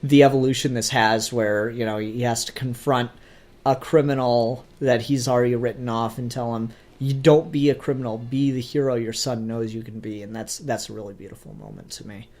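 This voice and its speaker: A man with a medium-pitched voice hosts a casual review discussion. He explains his thoughts earnestly and with enthusiasm, in a conversational podcast style. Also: fairly even intonation, moderate pace, a little mellow. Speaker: podcast host.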